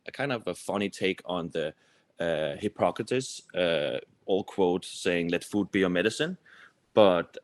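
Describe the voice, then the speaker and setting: A man's voice: deep voice, no room sound. A man, answering interview questions in a loose, conversational way as a company executive. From Copenhagen, Denmark. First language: Danish. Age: 30s. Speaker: company executive, podcast guest.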